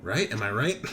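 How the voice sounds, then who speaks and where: A man's voice: a little high, noisy background. A man hosting a tabletop roleplaying show as game master, talking casually with profane, bantering humor. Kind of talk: casual chat and session recap.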